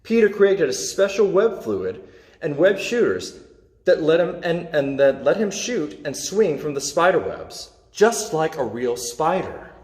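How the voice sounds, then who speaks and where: Expressive male story reader in echoey room. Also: a little high, unhurried. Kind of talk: reading a children's superhero story aloud.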